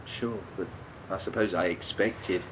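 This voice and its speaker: A man's voice: unhurried, poor audio. An actor in a radio play playing a remorseful, defensive man who speaks hesitantly, stumbling and repeating himself. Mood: subdued, awkward. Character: remorseful, defensive man haunted by a fatal drunk-driving crash. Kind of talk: naturalistic radio play dialogue. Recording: steady background noise, low rumble.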